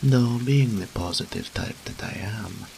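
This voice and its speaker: A man playing a deep, creaky, smug incubus demon. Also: a little slow, a little mellow, a little hiss or hum. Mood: haughty, drawling. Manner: teasing, haughty, purring, theatrical drawl. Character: smug, seductive, theatrical male demon incubus. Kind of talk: scripted roleplay audio drama monologue.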